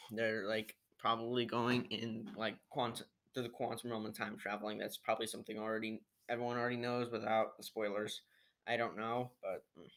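Teenage male, smooth clear voice, mid pitch, casual vlog review, hesitant, rambling, trailing off, home webcam mic. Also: fairly bright tone. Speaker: amateur video reviewer. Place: living room at home, indoors.

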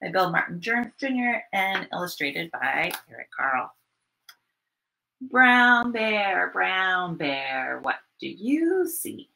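A woman in her thirties leading a children's storytime, speaking in an animated, sing-song way with repeated phrases for young listeners. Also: lowish voice, takes it slowly, gravelly, a little hiss or hum. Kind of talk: children's storytime read-aloud and singalong. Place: small furnished room at home, indoors.

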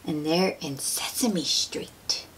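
Slow, low-voiced woman reading to children. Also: whispered, poor audio. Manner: gentle, drawn-out, expressive, addressing a child. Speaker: children's book read-aloud host. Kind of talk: picture-book read-aloud with questions to young children. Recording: steady noise behind the voice.

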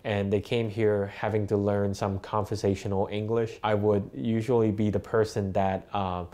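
A man's voice: lowish voice, flat delivery, moderate pace, dark tone. A young man from Hong Kong whose first language is Cantonese, speaking thoughtfully with many fillers in an interview. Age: young adult. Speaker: interviewee, bakery worker and student. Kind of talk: documentary interview. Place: bakery kitchen indoors.

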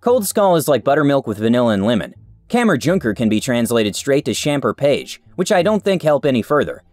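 A man's voice: medium pitch, dry recording. A man narrating forum stories in a scripted reading style, with a close studio mic.

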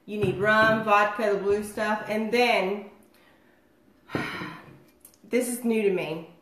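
A woman's voice: mid-range voice, fairly even intonation, slow pace, a bit bright. Chatty woman with a cold. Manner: rambling and chatty, many fillers. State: getting over a cold, nasal and phlegmy. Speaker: host of a home cocktail livestream. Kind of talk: casual livestream chat. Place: dining room at home, indoors. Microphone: phone or webcam across the table. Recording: echoey room.

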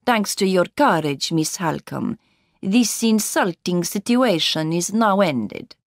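A cool scheming nobleman read by a woman narrator, quiet and formal. He speaks with slow, smooth courtesy in a dry storybook recording. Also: on the low side, expressive, no room sound.

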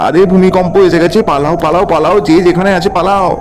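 A man's voice: high-pitched, steady tone, fast talker, background noise, natural voice. A man voicing a bossy, alarmed village father in a melodramatic doll-puppet cartoon, urgently repeating his commands. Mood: panicked. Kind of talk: melodramatic puppet-story voice acting.

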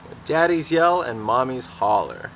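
Slow, animated young man reading aloud. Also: mid-range voice. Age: young adult. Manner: expressive, deliberate, pausing between phrases. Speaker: teacher reading picture books. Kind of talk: children's story read-aloud. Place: outdoors in a fenced backyard garden. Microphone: camera a few feet away. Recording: faint steady outdoor background noise.

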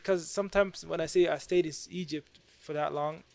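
A young man from Sweden, a podcast guest, talking in a relaxed, easygoing way into a studio boom mic. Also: moderate pace, gravelly. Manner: relaxed, easygoing storytelling with soft laughs. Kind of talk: casual interview talk. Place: study room lined with bookshelves. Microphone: studio mic on boom arm, headphones on.

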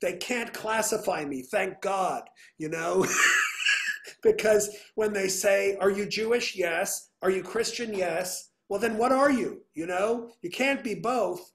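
Middle-aged male composer, earnest and animated, slightly high voice, webcam audio. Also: takes it slowly, slight background noise. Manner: earnest, animated, many restarts. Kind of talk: casual video call conversation. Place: home room with piano. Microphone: video call webcam.

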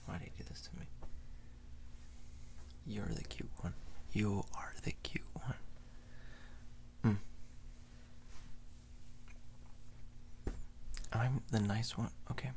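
Slow, whispery young boyfriend, acted by a man. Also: on the low side, steady tone. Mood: playful, bashful. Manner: soft, hushed, soothing, often whispering. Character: tender, playful young boyfriend caring for sick partner. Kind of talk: intimate boyfriend roleplay ASMR.

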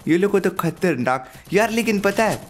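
A young man with a sore throat giving excited live commentary over his own mobile battle royale match, hyping up his kills and laughing often, speaking into a headset at home. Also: high voice, moderate pace, heavy background noise. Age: young adult. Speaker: gaming YouTuber. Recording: game gunfire behind.

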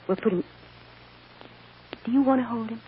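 An actress playing a tender, earnest mother in an old radio drama, speaking with soft, coaxing emotion. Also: a little high, moderate pace, lightly acted. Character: tender, earnest young mother reassuring her adopted daughter. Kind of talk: old-time radio soap drama acting. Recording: muffled, noisy old radio recording.

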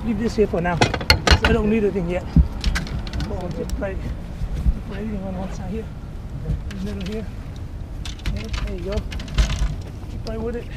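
A man hosting a fishing vlog from a small boat, giving excited commentary, whooping and repeating himself while fighting a fish. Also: sits high, normal speed, long pauses. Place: small open boat on a wide river delta. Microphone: action camera on the boat. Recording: noisy outdoor action-cam audio. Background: wind, water and boat noise.

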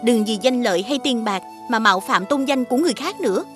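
A woman playing a gracious, righteous young princess in an animated fairy tale, composed and formal in her speech. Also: a little high, fast talker, soft background music. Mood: firm, composed. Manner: composed, gently admonishing, formal.

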